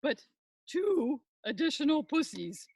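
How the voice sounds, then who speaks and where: An older woman with a high, widely swooping voice plays a pompous professor. She delivers a scripted comic monologue in florid, deliberate academic phrasing. The sound is dry and close, with little room to it. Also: sing-song, a little mellow, dry recording. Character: pompous older female professor hosting a podcast. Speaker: monologue performer, podcast host.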